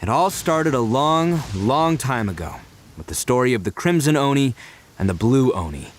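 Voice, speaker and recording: An actor playing a brash, big-hearted young gang leader in a video game, boisterous and colloquial with boyish bravado. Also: male voice, medium pitch, very animated, moderate pace, a little hiss or hum, close to an everyday voice. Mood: sheepish, sincere. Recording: faint game music behind.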